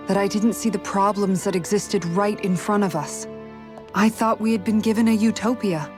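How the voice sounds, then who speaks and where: A woman's voice: medium pitch, a little mellow, close to an everyday voice. Game role: dutiful young woman guard played by a woman, earnest, formal, grieving yet resolute, mid-range voice, faint music behind. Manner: measured, sincere, formal. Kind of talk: dramatic video game voice acting. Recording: music under the voice.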